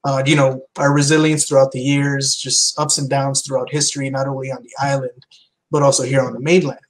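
A man's voice: medium pitch, level tone, fairly quick, poor audio. A middle-aged man, a guest filmmaker on a video call, asking questions earnestly and searching for words. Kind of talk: casual video call chat. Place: home room with bookshelves. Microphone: headset.

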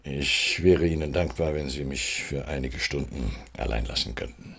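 An actor playing a guilt-ridden, weary wealthy businessman in a radio crime drama, speaking in a measured, formal, guarded way. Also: extremely low pitch, vocal fry, fairly bright tone, slightly rough, full character voice. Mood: resigned.

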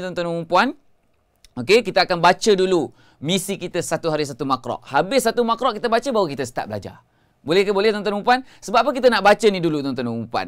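A man's voice: high voice, clear, resonant tone. A Malaysian man in his thirties hosting a live-streamed Quran lesson as its coach. He speaks emphatically, repeats his key phrases, and gestures as he talks. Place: studio before a green-screen hedge backdrop.

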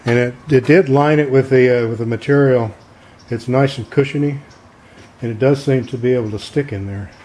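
A man's voice: medium pitch, moderate pace, darkish tone, a little hiss or hum. A middle-aged man from Texas casually explaining a homemade project in his garage, talking in a plain, rambling way with lots of fillers. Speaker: DIY maker. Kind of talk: casual how-to demonstration. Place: home garage workshop. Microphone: camera mic from a distance.

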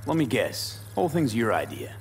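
A man's voice: mid-range voice, huge pitch swings, moderate pace, a little hiss or hum. An actor playing a laconic, streetwise male mercenary in a video game, speaking in a clipped, dry, blunt way. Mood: wry, blunt. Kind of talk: dramatic video game acting.

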